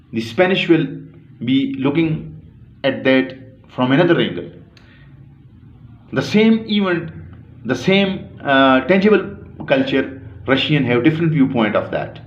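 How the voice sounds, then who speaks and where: A man delivers an academic lecture at an unhurried, measured pace, breaking phrases with pauses and small self-corrections. His voice sits at a middle pitch. The recording is dull and lo-fi, with a noticeable room echo. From Pakistan. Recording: echoey room, dull lo-fi sound.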